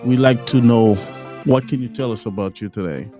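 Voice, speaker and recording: A man's voice: medium pitch, moderate pace, warm tone, soft background music. A man from Haiti hosting a casual podcast interview, often stopping and restarting his sentences. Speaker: podcast host.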